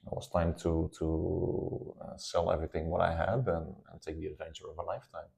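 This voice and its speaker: A man's voice: sits low, steady tone, brisk, gravelly, a little mellow, breathy edge. A man, a guest speaking in a casual interview, hesitant with many ums and restarts. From Netherlands.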